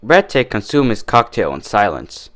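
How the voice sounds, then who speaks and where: A man's voice: lowish voice, very animated, normal speed, a bit bright. A man reading scripted story narration as a fan-fiction reader.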